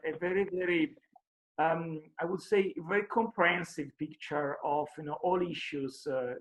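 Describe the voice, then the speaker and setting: A man's voice: a little high, poor audio. A male panelist commenting on a book, hesitant with many fillers and restarts. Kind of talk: commenting in a discussion.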